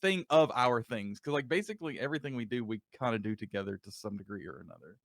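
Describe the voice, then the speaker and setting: Podcast guest, man, chatty and animated, close dry mic sound. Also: mid-range voice, quick pace, no room sound. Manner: talkative, animated interjections. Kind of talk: casual podcast chat.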